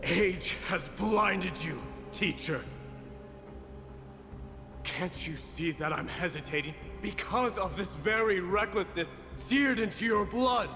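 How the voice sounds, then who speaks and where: An actor playing a proud young warrior prince in a video game, forceful, indignant and dramatic. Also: male voice, high voice, takes it slowly. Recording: long hall reverb under loud music.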